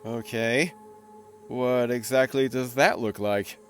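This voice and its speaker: A man's voice: medium pitch, steady tone, slow pace, soft background music, natural voice. A man playing a casual, sardonic, hesitant soul with a guilty conscience in an audio drama. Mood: uneasy, probing. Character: newly dead man, flippant, nervous, guilt-ridden soul. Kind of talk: naturalistic audio drama acting.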